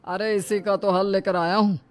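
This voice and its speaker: A woman's voice: medium pitch, little pitch movement, moderate pace, a little hiss or hum, lightly acted. A woman voicing a kindly, joking elder brother-in-law who speaks reassuringly. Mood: friendly. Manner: jovial, reassuring.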